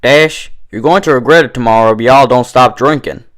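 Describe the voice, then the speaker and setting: A stubborn cartoon farm mare tending bar as a man plays her, slightly raised in pitch. She has a folksy Southern country drawl and a wary, cautioning way of talking. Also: brisk, fairly bright tone, natural voice. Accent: American country Southern.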